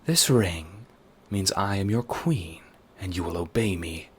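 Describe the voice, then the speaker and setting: A man voicing a stern, commanding queen in low, clipped, husky tones, in a fan audio drama. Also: measured pace, exaggerated voice.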